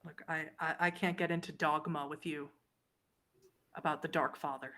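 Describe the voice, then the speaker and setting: A woman's voice: low voice, little pitch movement, moderate pace, fairly bright tone. A woman voicing a desperate, unkempt vampire runaway who speaks warily and insistently in a tabletop roleplay game. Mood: guarded.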